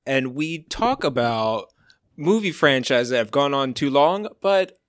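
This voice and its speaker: Animated man co-hosting a podcast. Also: mid-range voice, a little slow, no room sound, studio quality. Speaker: podcast co-host. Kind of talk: casual comedic chat.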